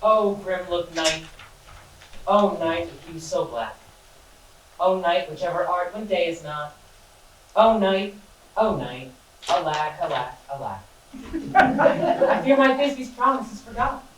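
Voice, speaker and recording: A hammy, lovesick young hero, played by a woman in a comic stage play. He declaims slowly and theatrically. The sound is distant and echoey, with faint noise underneath. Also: fairly low pitch, lively intonation. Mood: lamenting. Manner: declaiming, mock-tragic, laughing through lines. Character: lovesick young hero Pyramus, bombastic and overdramatic. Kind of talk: amateur stage comedy acting.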